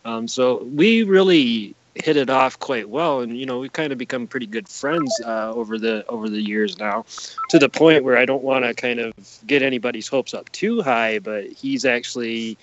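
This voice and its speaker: A man's voice: mid-range voice, moderate pace, no echo, muffled recording. A man speaking as an interview guest in a casual podcast, explaining earnestly in a rambling way with repeated words.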